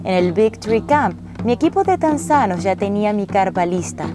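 A woman's voice: mid-range voice, lively intonation, moderate pace, over music. A woman reading a scripted travel narration in the role of narrator and traveller.

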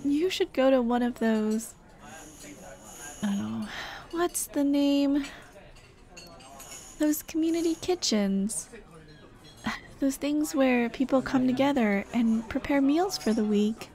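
A woman playing a tender, playful late girlfriend in an ASMR roleplay, speaking in a gentle, coaxing, teasing way. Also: high voice, takes it slowly, long pauses. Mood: fond, teasing. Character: tender, playful dead girlfriend comforting her grieving partner. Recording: clear ambient noise behind. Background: ambient sound effects.

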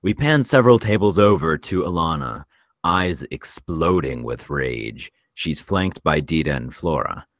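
A man reading screenplay stage directions as narrator, in a measured, descriptive way. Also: very deep voice, expressive, no echo, clean studio sound.

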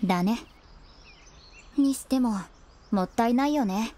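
A woman playing a cheeky but caring young boy companion in a voice drama, boyish, casual and expressive. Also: fairly high pitch, a little slow, lightly acted. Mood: disappointed, wistful. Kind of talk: Japanese voice drama acting.

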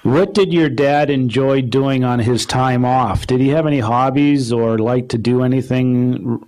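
A man runs a question session at a club meeting in a room. His voice sits mid-range. He keeps things moving briskly and relays the audience's questions. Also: medium pitch, darkish tone, poor audio. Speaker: meeting moderator. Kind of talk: moderating a club Q&A.

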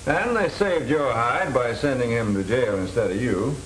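An actor playing a smooth, scheming middle-aged American broker in 1930s film style. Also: male voice, mid-range voice, animated, moderate pace, a bit bright, lightly acted, poor audio. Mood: calculating. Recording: hissy 1930s film soundtrack.

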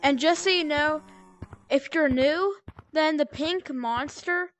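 A woman casually walking through a VR game tutorial on a headset mic, rambling and correcting herself, with sudden excited outbursts. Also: high voice, slow, faint music behind. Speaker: gameplay tutorial maker. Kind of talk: casual VR gameplay walkthrough.

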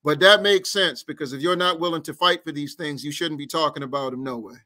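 Forceful man preaching on a video call. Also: on the high side, a little fast. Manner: rhythmic, repetitive oratory with long lists. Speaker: guest activist. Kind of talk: impassioned political speech in an interview. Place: indoor room at home. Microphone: headset on a video call.

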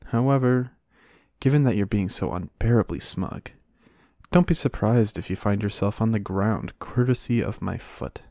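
A man playing a reserved, dry-witted American knight in a fantasy ASMR roleplay, speaking in measured, formal banter. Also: on the low side, creaky, warm tone, no echo. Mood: dry teasing.

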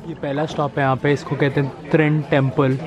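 A man's voice: a little high, flat delivery, fairly quick, dull audio, noisy background. A young man from India in his twenties, chatting candidly in a casual travel vlog, thinking aloud with hesitations, filmed on a handheld camera outdoors. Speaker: travel vlogger. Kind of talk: casual travel vlog, Hindi-English mix. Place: outdoors on a boat and paths among hills. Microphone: handheld camera at arm's length. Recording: background music over outdoor sound.

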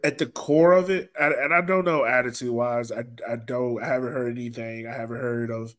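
A man's voice: medium pitch, steady tone, fairly quick. A man in his thirties hosting a casual sports chat over a video call, often repeating himself as he talks. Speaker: podcast host. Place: indoor room on a video call.

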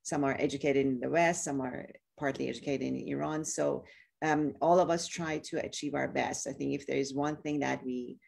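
A woman's voice: extremely low pitch, steady tone, normal speed, creaky, a little mellow. A woman hosting a webinar panel over a video call from home, searching for her phrasing. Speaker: webinar host, moderator. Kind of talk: moderating a panel. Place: home room.

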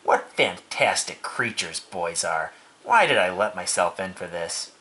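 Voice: sits low, expressive, a little slow, sharp tone, voice pushed down, exaggerated voice. A storybook narrator voicing a grumbling, put-upon male rat who complains at length. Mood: disgusted.

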